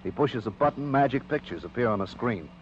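A sarcastic, defiant projectionist played by a man in a vintage radio crime drama. His mid-pitched voice swings widely as he sneers and mocks. The old recording is muffled. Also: animated, a little fast, slight background noise, natural voice. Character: surly, sarcastic, insolent male movie projectionist.

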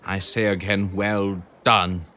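A man voicing a brave male hamster who speaks in an earnest, calm and firm way. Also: low voice, slow, background noise. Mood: rallying.

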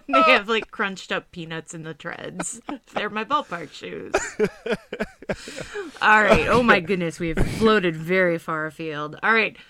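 A woman's voice: lowish voice, a little slow, bright, forceful tone. A woman co-hosting a podcast in casual chat, rambling and laughing with lots of fillers and restarts. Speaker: podcast co-host.

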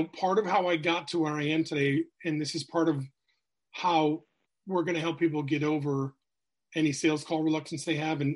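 Candid middle-aged New man. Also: a little high. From New Hampshire, USA. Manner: candid, rambling with many fillers. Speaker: guest, sales coach. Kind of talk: podcast interview storytelling.

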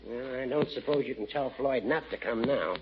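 An actor playing a prim, snobbish older judge in a 1940s radio comedy. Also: male voice, medium pitch, normal speed, crowd noise, close to an everyday voice. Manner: fussy, prim. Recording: dull old radio recording.

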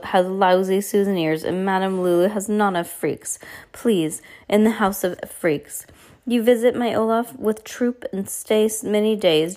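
A woman voicing a pleading, flattering fortune-teller from a storybook, with a vague foreign accent and theatrical broken English. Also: mid-range voice, voice pushed up, natural voice. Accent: exaggerated vague foreign.

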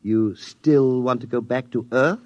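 An actor playing a stern, cryptic military psych officer with formal speech, in 1950s radio drama style. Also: male voice, mid-range voice, measured pace, a little mellow, no echo, lightly acted. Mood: probing. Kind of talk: 1950s science fiction radio acting. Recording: dull vintage radio recording.

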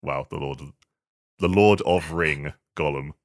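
A man co-hosting a casual video game podcast, talking in a hesitant, halting way with repeated words. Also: rumbling low. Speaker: podcast co-host.

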